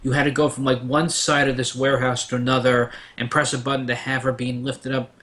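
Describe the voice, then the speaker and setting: A man's voice: mid-range voice, flat delivery, fairly quick, a bit bright. A man on a discussion panel, recounting things casually and enthusiastically.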